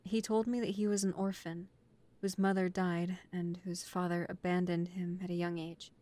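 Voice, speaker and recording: A woman's voice: on the low side, level tone, normal speed, dark tone, natural voice. A woman playing an earnest, devoted new mafia recruit and loving partner in a romantic audio roleplay. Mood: apologetic. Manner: earnest, emotional, polite to superiors.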